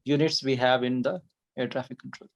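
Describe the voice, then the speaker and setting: A man with an Indian accent speaks as a podcast guest, walking through technical procedures one step at a time. His pitch is mid-range and stays fairly level, with little rise or fall. The delivery is informal but methodical. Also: fairly even intonation, a little fast, lo-fi sound. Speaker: air traffic controller, podcast guest. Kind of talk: informal technical explanation in an interview.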